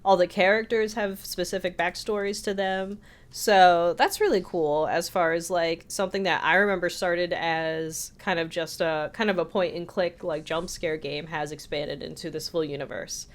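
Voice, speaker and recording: A woman's voice: lowish voice, fairly even intonation, moderate pace. A woman co-hosting a podcast, telling stories in a casual, conversational way. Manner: conversational storytelling, voices quotes from others. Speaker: podcast co-host. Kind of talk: casual chat, telling anecdotes.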